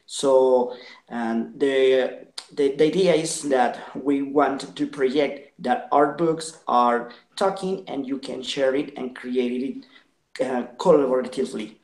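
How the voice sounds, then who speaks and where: A young man with a Latin American Spanish accent, Spanish his first language, speaks earnestly in an interview and often stops with fillers. His voice sits at an ordinary middle pitch. It rings in a roomy, echoey space. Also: darkish tone. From Ecuador. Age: 20s. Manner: earnest, halting with many fillers. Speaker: guest, social entrepreneur. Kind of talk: video call interview.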